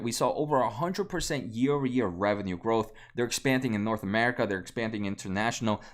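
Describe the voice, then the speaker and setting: A man in his late twenties, a native Spanish speaker, hosting a casual stock livestream, answering viewers with many fillers and restarts. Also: mid-range voice. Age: 20s. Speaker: stock market livestream host. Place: home room at a desk.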